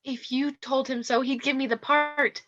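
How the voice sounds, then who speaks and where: Woman as ambitious young radio-drama schemer: highish, bright, meek on the surface, cold underneath, slightly dull audio. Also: steady tone, moderate pace. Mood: coolly menacing. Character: scheming young actress, falsely meek, coolly blackmailing. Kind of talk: radio play acting. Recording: dull, lo-fi voice track.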